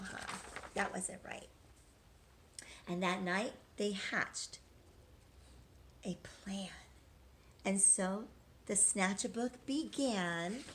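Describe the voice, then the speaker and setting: A woman teacher reading a rhyming picture book aloud to young children, gentle and engaging, pausing to ask questions. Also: medium pitch, noisy background.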